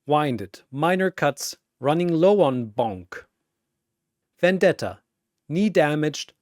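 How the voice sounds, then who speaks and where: A man narrating a dramatic battle story in a theatrical, measured way, with pauses between short phrases. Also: fairly high pitch, no room sound, studio quality.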